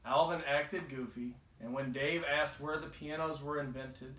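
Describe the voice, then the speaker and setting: A man's voice: a little high, steady tone, unhurried, sharp tone. Echoey male storybook narrator. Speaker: storybook reader. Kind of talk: children's read-aloud narration. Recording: echoey, roomy home recording.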